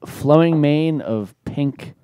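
A man in casual podcast chat, answering haltingly with many fillers. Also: on the high side, warm tone, clear, resonant tone, no echo, studio quality. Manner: hesitant, lots of fillers. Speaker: podcast guest.

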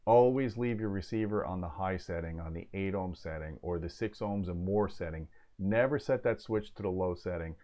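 A man's voice: fairly low pitch, a little mellow. A man in his forties giving an informal technical explanation as a host, plain-spoken, opinionated and emphatic. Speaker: host of an audio gear review channel. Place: small home office, indoors.

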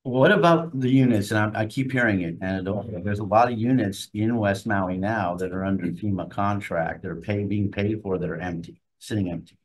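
A man speaking as a senator at a hearing, interjecting with quick questions. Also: lowish voice, low-quality recording.

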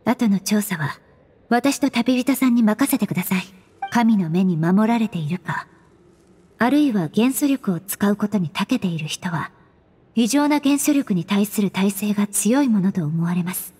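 A woman voicing a composed, polite young female investigator in an anime game, formal and analytical, with a soft breathy voice. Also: mid-range voice, lively intonation, moderate pace, faint music behind. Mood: composed, resolute.

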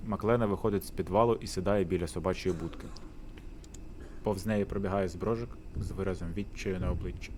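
A man's voice: low-pitched, fairly even intonation, fairly quick. A man reading a visual novel's narration aloud during a playthrough. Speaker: reader in a game playthrough. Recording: clear background noise.

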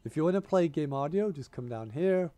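A man talking through a tech setup with a cold in his voice, his tone slightly high for a man yet dark and mellow. He keeps it chatty and plain, stringing together "you wanna" steps. Headset mic, recorded at home. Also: animated, a little fast. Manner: repeats "you wanna" instructions, informal and chatty. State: has a cold and a tickly cough. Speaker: channel host giving a tech tutorial. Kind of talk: casual step-by-step screen-recording tutorial. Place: home room, indoors. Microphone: gaming headset mic.

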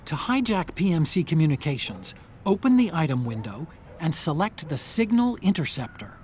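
An actor playing an earnest, brainy tech ally in a video game, giving clear, urgent mission briefings. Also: male voice, a little high, very animated, moderate pace, a little mellow, slight background noise. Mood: explanatory.

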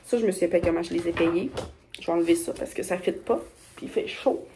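A woman's voice: on the low side, fast, mellow, husky. Chatty Québécois woman vlogging on her phone. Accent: Québécois French. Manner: chatty, upbeat, rambling with fillers. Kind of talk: casual vlog chat. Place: bedroom and car. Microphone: phone held at arm's length.

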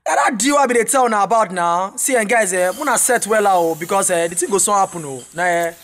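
A man playing an excitable young street hustler in an audio drama, exclaiming in Nigerian Pidgin slang. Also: sits high, quick pace. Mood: boastful, easygoing.

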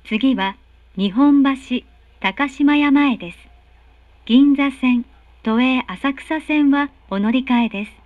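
A woman delivers recorded train announcements in a high, courteous voice. Her phrasing is formal and carefully enunciated. The sound is dry and a little muffled, as captured from a carriage speaker. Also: takes it slowly, no room sound. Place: recorded announcement played inside subway car.

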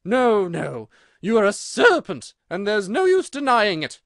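A storybook mother pigeon, acted out by a man, distraught and scolding. The voice is very high, shrill and swooping, with emphatic, accusing contempt in a dry close recording. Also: huge pitch swings, a little slow, fairly bright tone, dry recording, exaggerated voice. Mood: contemptuous. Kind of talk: storybook character voice.

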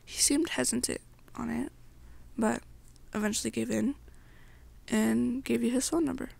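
A young woman narrates second-person fan fiction in an ASMR style. Her voice sits fairly high and has plenty of creaky fry. It is breathy and sometimes falls away almost to a whisper. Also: fairly even intonation, moderate pace, darkish tone. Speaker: ASMR roleplay storyteller.

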